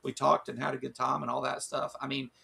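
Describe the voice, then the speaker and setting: A man's voice: medium pitch, level tone, fast talker, vocal fry, pushed and bright, slightly rough. A man co-hosting a casual livestream chat, rambling with lots of restarts and fillers, losing his voice. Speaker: show co-host.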